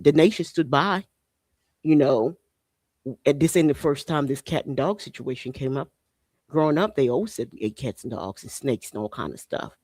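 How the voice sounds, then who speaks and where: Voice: medium pitch, no echo. A podcast host in their forties, originally from Haiti, delivering an impassioned monologue full of rhetorical questions and repeated phrases.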